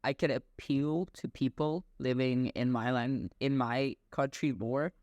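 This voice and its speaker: A man's voice: mid-range voice, unhurried, no echo, highs cut off. Young man from Bangladesh. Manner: relaxed, chatty, thinks aloud. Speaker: podcast host. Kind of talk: casual online interview chat.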